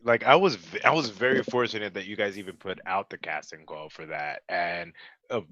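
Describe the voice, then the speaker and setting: A man with a bright, punchy voice chats as a guest voice actor. He is playful and jokey in casual storytelling. The dry call audio is a little lo-fi and dull. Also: low-pitched, fairly quick, dry recording. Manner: playful, joking, animated storyteller. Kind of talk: casual chat. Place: remote video call.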